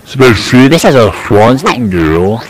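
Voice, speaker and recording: A young man chatting informally and excitedly in a casual exploration vlog, in a blokey, exclamatory way. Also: mid-range voice, brisk, noisy background. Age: young adult. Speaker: vlogger exploring an abandoned site. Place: abandoned buildings and grounds at night.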